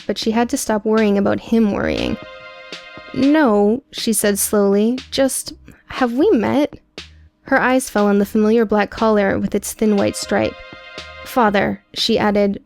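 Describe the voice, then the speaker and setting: A woman reading scripted audiobook prose in a measured, dramatic storytelling manner. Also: mid-range voice, animated, darkish tone, soft background music. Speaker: audiobook narrator.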